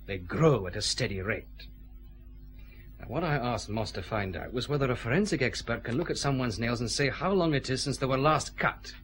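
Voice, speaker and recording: A shrewd, relentless Scottish police chief inspector in a radio detective drama. He interrogates in measured, deliberate steps, with a widely varied pitch that lands hard on each accusation. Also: lively intonation, fairly bright tone, slight background noise. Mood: stern, triumphant.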